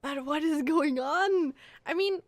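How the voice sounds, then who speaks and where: A woman's voice: sits high, slow, no room sound. A woman streamer giving casual, reactive game commentary, musing aloud as she plays. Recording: game music behind the voice. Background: game music.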